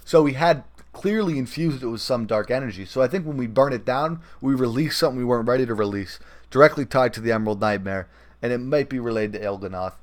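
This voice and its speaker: A man talking casually about gaming as a podcast host. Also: mid-range voice.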